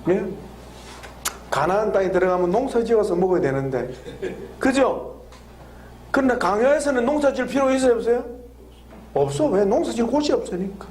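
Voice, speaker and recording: A man preaching a lively sermon, laughing often and drawing call-and-response answers from the congregation with frequent questions. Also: high voice, a little fast, long pauses, slight background noise. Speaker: pastor. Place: church hall with congregation.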